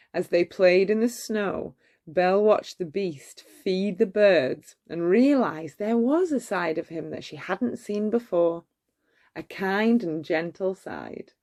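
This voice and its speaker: A woman reading a bedtime story aloud in an expressive, measured way. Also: on the low side.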